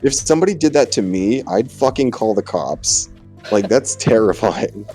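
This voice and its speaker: A man's voice: lowish voice, expressive, moderate pace, mellow, faint music behind. A young man chatting casually and jokingly with a friend as a let's play host, sweary and sardonic.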